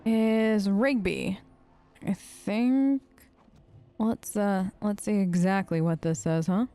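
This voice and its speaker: A woman's voice: medium pitch, slow, warm tone, clean tone, faint music behind. A woman giving casual, chatty gameplay commentary, often talking to herself and to the characters as she plays. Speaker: let's play host.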